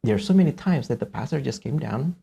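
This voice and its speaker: Gravelly Indonesian-accented man, halting delivery. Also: mid-range voice, lively intonation, mellow, muffled recording. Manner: halting, searching for words, repeats himself. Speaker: podcast host, interviewer. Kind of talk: casual interview chat.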